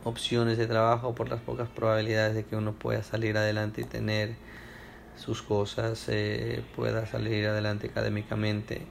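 Young man from Ecuador, flat low voice, hesitant with frequent restarts, interview at home. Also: normal speed, vocal fry, slight background noise. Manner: hesitant, many fillers and restarts. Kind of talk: oral history interview.

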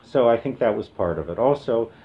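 A retired man in his seventies from the American Midwest, reminiscing slowly in an oral history interview with many pauses and fillers. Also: mid-range voice, lively intonation, lo-fi sound, slight background noise. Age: 70s. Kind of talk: relaxed reminiscing with reading aloud.

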